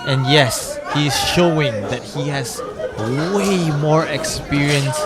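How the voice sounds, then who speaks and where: A man's voice: fairly high pitch, slow pace. A man doing conversational colour commentary on live sport, casual and speculative, given to rhetorical questions. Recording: noisy arena recording with loud crowd. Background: loud wrestling crowd.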